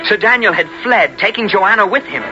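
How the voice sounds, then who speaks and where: An actor playing a brave, loyal young squire who both narrates and speaks in a radio drama, in earnest, formal, archaic language. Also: male voice, high voice, lively intonation, fairly quick. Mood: downcast, determined. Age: young adult. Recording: muffled old radio broadcast with music.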